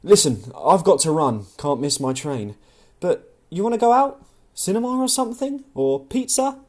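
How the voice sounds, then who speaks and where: Audiobook role: charming, evasive young man with an irritable edge male narrator acting, lively pitch. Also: normal speed. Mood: casual, charming. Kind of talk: audiobook character dialogue.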